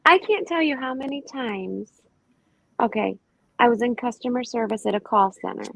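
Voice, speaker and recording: A woman's voice: on the high side, expressive, moderate pace, gravelly, no room sound. Young-sounding chatty woman, dry lo-fi stream. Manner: chatty, rambling storyteller. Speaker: panel guest. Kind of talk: casual chat on a live stream. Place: indoors at home. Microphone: computer mic on a video call.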